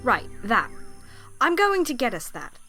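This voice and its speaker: Brainy professor, fairly high voice. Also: female voice, huge pitch swings, measured pace, sharp tone, exaggerated voice. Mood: brisk resolve. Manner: precise, bookish, confident. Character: brainy, self-assured female professor adventurer. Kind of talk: pulp adventure audio drama acting. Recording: music behind the voice.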